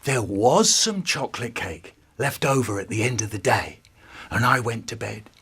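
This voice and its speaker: A performer voicing a feeble puppet Jesus who rambles dreamily and haltingly in a husky, creaky, breathy voice. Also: mid-range voice, animated, a bit bright, exaggerated voice. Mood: dreamy, rambling.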